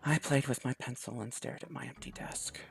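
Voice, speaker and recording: A man narrating a visual novel aloud in a measured, storytelling way. His voice is gravelly with a creaky rasp. Faint music runs softly underneath. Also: medium pitch. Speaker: game streamer.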